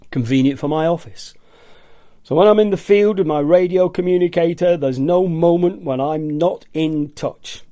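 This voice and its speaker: An agitated, eccentric office rebel, played by a man in a radio play, with a slightly high voice. He savours each word theatrically and mimics naggers, and the sound is dry and close. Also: mellow, no room sound. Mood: indignant, mocking. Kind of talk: radio play monologue reading.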